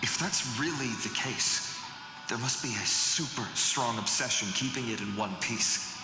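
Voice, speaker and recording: A man's voice: mid-range voice, unhurried, lightly acted. A man playing a watchful, protective young warrior in a video game, speaking in an alert, cautious way. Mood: wary, pondering. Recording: echoey reverberant hall, game music behind.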